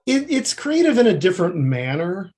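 A man answering as an interview guest in a conversational, reflective way, haltingly thinking aloud. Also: high-pitched, very animated, darkish tone, slight background noise. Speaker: interview guest, screenwriter and TV creative services producer.

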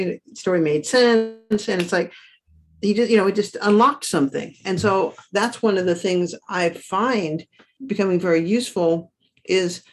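A woman talking casually as a writer, sharing her experience in conversational anecdotes. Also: medium pitch. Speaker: writer on a discussion show.

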